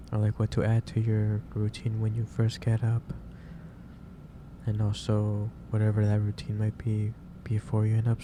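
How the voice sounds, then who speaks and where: A man doing a soft ASMR roleplay as a gentle, caring office boss, speaking hesitantly with pauses mid-sentence as he gives advice. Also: lowish voice. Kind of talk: soft ASMR roleplay, improvised monologue to the listener. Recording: clearly noisy background ambience.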